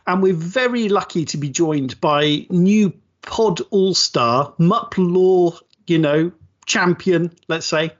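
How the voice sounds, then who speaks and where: A man hosting a podcast with a slightly high voice, upbeat and rambling, often laughing at his own slip-ups. The sound is dull and lo-fi, like a remote call mic. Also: takes it slowly. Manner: upbeat, rambling, self-deprecating, says super a lot. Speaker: podcast host. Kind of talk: casual chat.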